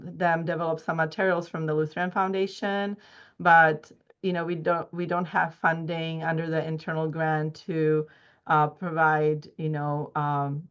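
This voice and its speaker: A woman from the Czech Republic, a native Czech speaker, giving a research presentation in an earnest way with many fillers and restarts. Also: fairly low pitch. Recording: dull lo-fi recording.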